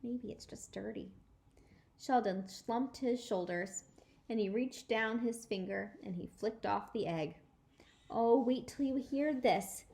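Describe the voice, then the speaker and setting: A woman storyteller reading a children's book aloud in an animated, emphatic way. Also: a little high, slow. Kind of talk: expressive children's book read-aloud in a child narrator's words.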